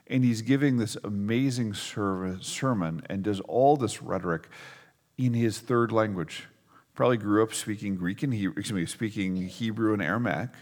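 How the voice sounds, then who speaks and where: A middle-aged male pastor speaks to his congregation in a conversational sermon, pressing on key words. His voice carries a gravelly edge. The sound rings with the long reverb of a church hall. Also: fairly low pitch, a little mellow. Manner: conversational preaching with emphatic stresses.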